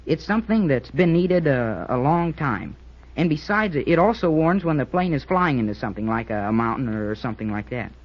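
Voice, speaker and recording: An actor playing an eager, joking schoolboy cadet in an old-time radio drama, talking in a chatty, earnest way. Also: male voice, fairly high pitch, fairly quick, natural voice. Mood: eager, confiding. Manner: chatty, boyish, earnest explaining. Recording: muffled vintage radio recording.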